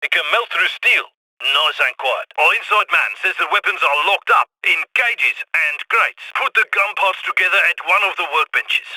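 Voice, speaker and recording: A bright, high-voiced heist planner barks brisk, wry orders to his crew. The sound is dry and close, with no room around it. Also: lively intonation, dry recording, full character voice, poor audio. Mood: businesslike. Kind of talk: game voice lines.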